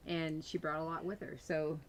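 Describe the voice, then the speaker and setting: A bubbly woman host with a somewhat low voice jokes with her guest and often trips over her own sentences. A faint hum sits behind her. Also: level tone, normal speed. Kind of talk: casual chat.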